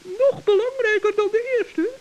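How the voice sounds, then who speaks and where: A woman's voice: extremely high pitch, a little slow, a little mellow, a little hiss or hum. A woman voicing a cheerful, brave male forest gnome in an old children's radio play, chatty and earnest. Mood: chirpy.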